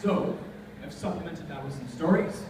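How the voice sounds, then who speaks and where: A young man giving an informal, chatty motivational talk to high school students, addressing the audience directly. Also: moderate pace. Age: young adult. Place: large echoey room, before a live audience. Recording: very echoey room, distant mic, noisy.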